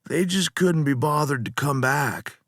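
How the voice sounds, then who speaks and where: A man's voice: fairly high pitch, unhurried, a bit bright, dry recording, studio quality, voice pushed up. A man voicing a blissed-out, dopey stoner in a story, with slurred, slangy, spaced-out drawling. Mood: spaced-out friendly. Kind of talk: acted storytelling.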